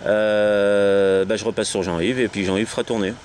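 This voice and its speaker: A French man with a low voice leads a casual amateur radio round from outdoors. He rambles and hesitates, often repeating himself. There is faint noise around the voice. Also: little pitch movement, normal speed, a little mellow. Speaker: amateur CB radio operator leading a round of contacts. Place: outdoors on a low wall at the end of a sea dike.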